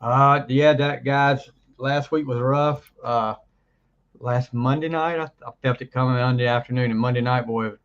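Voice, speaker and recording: Rambling man host, hoarse from flu. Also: medium pitch, steady tone, moderate pace, muffled recording. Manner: rambling, laughs often, trails off mid-sentence. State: recovering from flu, voice deeper than usual. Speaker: podcast co-host. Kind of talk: casual chat.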